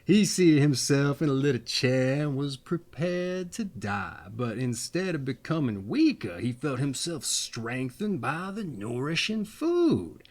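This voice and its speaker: A man reading a fairy tale aloud as a storyteller, in a theatrical, deliberate way. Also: mid-range voice, sing-song, a little mellow.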